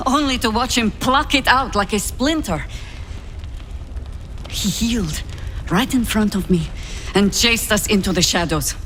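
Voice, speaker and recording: A woman playing a shrewd, very old druid heroine in a fantasy game, speaking in a measured, formal, authoritative way. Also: medium pitch, slight background noise, natural voice. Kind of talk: fantasy video game dialogue.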